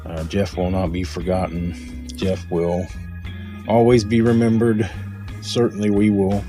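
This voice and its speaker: Male tribute host, measured and heartfelt, low voice, eulogy delivered over clear guitar music. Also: warm tone. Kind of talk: spoken eulogy, closing thanks.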